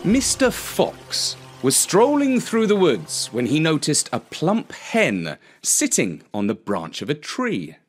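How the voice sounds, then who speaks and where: A man narrating a children's story as storyteller in an expressive, theatrical way. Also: fairly high pitch, takes it slowly, few pauses, over music.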